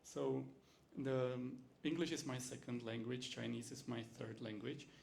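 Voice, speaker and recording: A man's voice: medium pitch, fairly even intonation, moderate pace, gravelly, darkish tone, slight background noise. A man from the Czech Republic with a Czech accent, a university lecturer giving a teaching demonstration in English, his second language, with frequent fillers and restarts.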